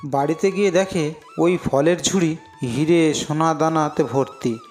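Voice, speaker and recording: A man's voice: a little high, unhurried, a little mellow, soft background music. A man narrating a scripted cartoon story as its storyteller.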